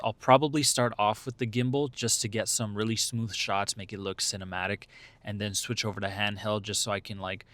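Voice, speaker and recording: A man's voice: lowish voice, fairly bright tone, no room sound. A young man talking casually to camera as a vlogger, rambling with lots of fillers and restarts.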